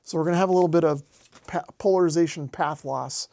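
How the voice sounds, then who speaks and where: An American man with a high voice talks through a practical demonstration in a casual way. He is recorded dry, with hardly any room around him, and explains things like a hobbyist host. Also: fast talker, creaky, darkish tone, dry recording. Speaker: host, amateur radio hobbyist. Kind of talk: casual hands-on demonstration narration.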